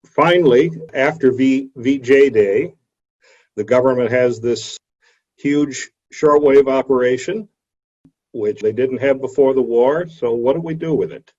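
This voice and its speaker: A man's voice: medium pitch, unhurried, a little mellow, husky. A man giving an explanatory history lecture in a somewhat hesitant manner, recorded over a video call. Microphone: video call microphone. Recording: dull video call audio.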